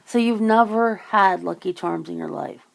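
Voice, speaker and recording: A woman's voice: mid-range voice, normal speed, gravelly, poor audio. A woman having a casual phone chit-chat, rambling and teasing, with some casual swearing. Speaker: ASMR channel host.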